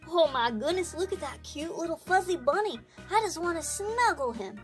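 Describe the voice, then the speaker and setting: A woman's voice: extremely high pitch, lively intonation, moderate pace, soft background music. A woman voicing a timid, gushing, worrying male toy dinosaur in a children's story. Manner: fretful, gushing.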